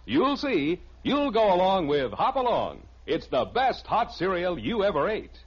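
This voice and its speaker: A man's voice: sits high, very animated, measured pace, loud music behind the voice. An American man delivering a folksy, persuasive 1950s radio advertisement as a commercial announcer. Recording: muffled old radio recording.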